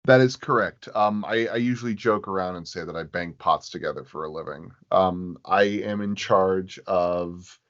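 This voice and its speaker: Low-pitched male guest, halting, lo-fi recording. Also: a bit bright. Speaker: sound designer, podcast guest. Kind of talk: casual interview chat. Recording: dull, lo-fi audio.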